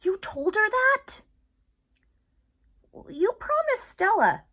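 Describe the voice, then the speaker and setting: A woman's voice: extremely high pitch, sing-song, slow pace, fairly bright tone, voice pushed up, exaggerated voice. Woman reading as an anguished silverback gorilla. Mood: reproachful. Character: anguished adult male silverback gorilla, guilty and protective. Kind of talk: children's storybook read-aloud.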